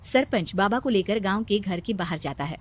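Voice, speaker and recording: A woman narrating a cartoon storybook. She tells it in a plain, even way with a mid-range voice. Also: mid-range voice, normal speed.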